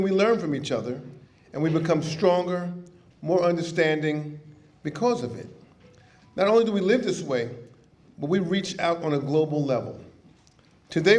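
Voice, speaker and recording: A man speaking as mayor, opening an official ceremony with prepared remarks. His voice sits somewhat high for a man, and his delivery is measured and formal, with a light, self-deprecating humor. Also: darkish tone.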